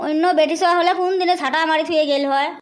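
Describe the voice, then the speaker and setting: A woman plays a long-suffering, snappy village wife in a comedy cartoon. Her voice is extremely high and quick, scolding in a rural northern Bengali dialect. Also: fairly even intonation, natural voice. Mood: scolding, aggrieved. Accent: rural northern Bengali dialect of the Assam-Bengal border.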